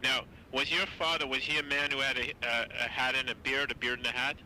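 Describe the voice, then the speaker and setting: A man's voice: medium pitch, little pitch movement, fast talker, sharp tone, slight background noise, rough recording. A man interviewing his cousin, asking short questions and repeating each answer back. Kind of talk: family interview.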